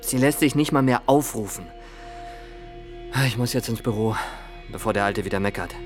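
A man's voice: on the low side, normal speed, over music, natural voice. An actor playing a cruel, smug man in a German radio crime drama. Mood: terse. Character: cruel, smug man named Robert, later panicking.

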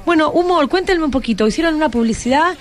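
A woman's voice: high-pitched, expressive, fast. A woman with an Argentine accent hosting a casual, chatty radio interview, prompting her guests with encouraging questions. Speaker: radio host interviewing school students.

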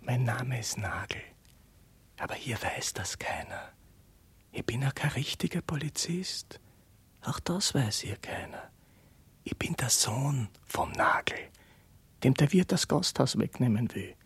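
Hushed, secretive young impostor. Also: male voice, medium pitch, animated, unhurried, long pauses, breathy edge, in a whisper, heavily acted. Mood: conspiratorial. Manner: hushed, confiding. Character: secretive innkeeper's son posing as a policeman, confiding. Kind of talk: radio play aside.

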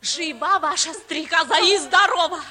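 A woman's voice: very high voice, moderate pace, crowd noise. An actress playing a spirited, caring young farm girl in a 1950s radio comedy. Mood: alarmed, soothing. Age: young adult.

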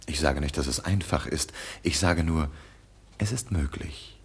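A man's voice: a bass voice, unhurried, slightly rough, exaggerated voice. An actor playing a confident detective in a radio drama who accuses his opponent in a dry, defiant way. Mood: accusing. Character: sharp-witted male detective, confident.

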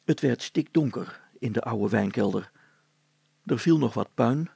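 A man tells a story in a measured, scripted read. His voice is husky with heavy creak. The recording is dry and close, and the words are carefully articulated. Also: mid-range voice, expressive, mellow, dry recording.

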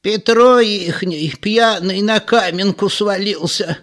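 A man voicing a gossipy old village woman in an audiobook, with drawn-out rustic dialect talk. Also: high-pitched, a bit bright, pitched above the performer's own voice. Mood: amazed gossip.

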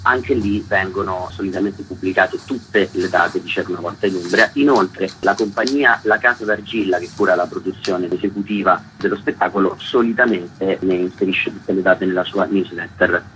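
A man's voice: low-pitched, normal speed, few pauses, fairly bright tone, a little hiss or hum, poor audio. A man being interviewed on radio as a theatre author, explaining his work in an articulate way.

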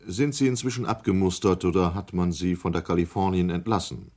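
A measured, official committee chairman. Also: low voice, steady tone, a little mellow. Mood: formal. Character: formal male committee chairman. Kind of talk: 1980s radio drama acting.

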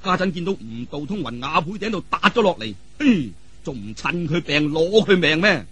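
A man's voice: fairly high pitch, very animated, moderate pace, fairly bright tone, slightly rough, no echo. A man telling a martial arts tale in Cantonese in a theatrical, colloquial traditional storytelling style, full of vivid exclamations and spoken sound effects. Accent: Hong Kong or Guangdong Cantonese.

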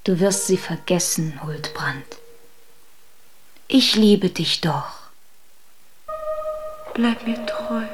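A woman playing a tender, devoted water spirit who pleads in soft, breathy whispers. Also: fairly low pitch, animated, drawn-out words, pauses often, soft background music. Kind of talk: radio drama acting.

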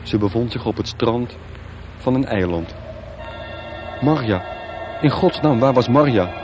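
A man's voice: medium pitch, expressive, measured pace. A man narrating a radio play as scripted, dramatic storytelling. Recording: music bed behind. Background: music.

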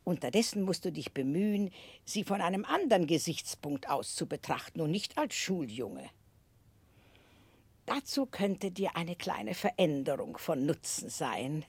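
An actress playing a strict, eccentric older aunt in a 1960s German radio play, speaking emphatically and deliberately with a husky voice. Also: female voice, on the low side, very animated, darkish tone, dry recording, full character voice. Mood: brisk, deliberating. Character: strict, eccentric, resolute older aunt, fond but blunt.

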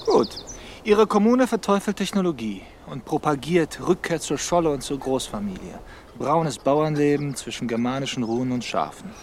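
A man's voice: fairly high pitch, animated, normal speed, slight background noise, natural voice. A man playing a dogged police detective in a radio crime drama, questioning persistently with dry irony. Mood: probing, ironic. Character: dogged male police detective, ironic and probing.

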